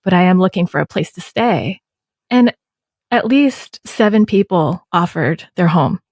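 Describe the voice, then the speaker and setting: A woman in her thirties hosting an interview podcast, speaking in a measured, reflective way. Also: fairly low pitch, expressive, a little mellow, no echo, studio quality. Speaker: podcast host. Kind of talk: conversational interview.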